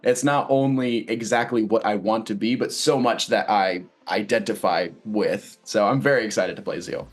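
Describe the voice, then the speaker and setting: A man's voice: lowish voice, moderate pace, few pauses, soft background music. A man answering interview questions casually as a stage actor, earnest and thoughtful, often searching for his words.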